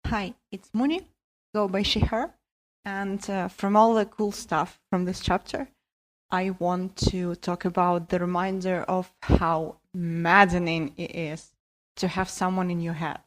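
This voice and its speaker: A woman's voice: lowish voice, little pitch movement, measured pace, rough recording. A woman recording a listener voice message with reflective commentary for a podcast. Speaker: listener sending in a voice submission.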